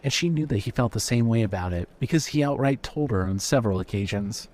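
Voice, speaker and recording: A man's voice: medium pitch, lively intonation, fast talker, a little mellow. A man giving scripted narration of a fiction story.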